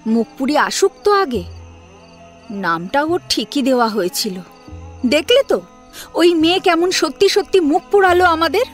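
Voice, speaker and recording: A woman's voice: high-pitched, animated, normal speed, pauses often. A woman voicing a resentful older wife who placates and grumbles, in an audio drama. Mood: placating, bitter. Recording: music behind the voice.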